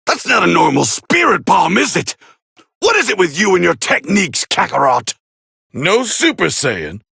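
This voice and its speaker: A man playing a proud, hot-tempered alien warrior prince with a raspy voice, calling fights with scorn in an anime video game dub. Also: high voice, wildly swooping pitch, moderate pace, no room sound, clean studio sound, full character voice. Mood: irritated, defiant. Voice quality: gravelly, raspy. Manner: haughty, scornful, biting, forceful. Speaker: fight commentator character.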